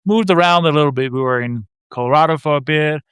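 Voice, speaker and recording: A man's voice: a little high, quick pace, a bit bright, clean tone, dry recording, studio quality. Man in his fifties with a Danish accent. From Denmark. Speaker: guest, real estate investor. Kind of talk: casual interview answers.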